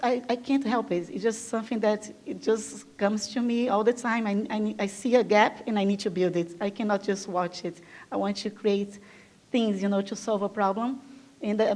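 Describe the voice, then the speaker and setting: A woman's voice: medium pitch, darkish tone. Enthusiastic Brazilian-born woman giving a talk. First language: Portuguese. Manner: enthusiastic, chatty, frequent fillers. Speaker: guest speaker. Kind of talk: conference talk. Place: event room with live audience.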